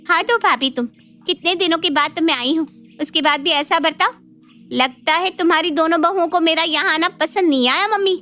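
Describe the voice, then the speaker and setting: Woman acting a high, pouty, entitled daughter. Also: fairly quick, sharp tone. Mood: offended, whiny. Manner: whiny, complaining. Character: pouty, entitled married daughter visiting her parents' home. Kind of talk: Hindi cartoon family drama acting. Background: faint background music.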